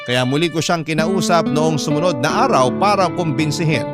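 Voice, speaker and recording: A Filipino man tells a dramatic first-person story in a slightly high voice. Loud guitar music plays behind his narration. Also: moderate pace. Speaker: story narrator, reading a letter sender's account. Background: loud plucked guitar music.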